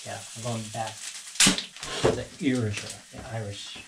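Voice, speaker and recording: A man's voice: on the low side, flat delivery, a little slow, vocal fry. A man in his sixties hosting a casual home cooking vlog, chatting and correcting himself as he narrates each step in a small kitchen. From United States. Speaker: home cooking show host. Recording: noisy home kitchen with frying sizzle. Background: frying and sizzling.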